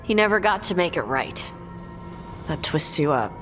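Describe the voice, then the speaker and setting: A woman playing a brash, irreverent companion in a fantasy game, informal and animated. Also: low voice, normal speed. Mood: regretful. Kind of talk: naturalistic game dialogue. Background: music.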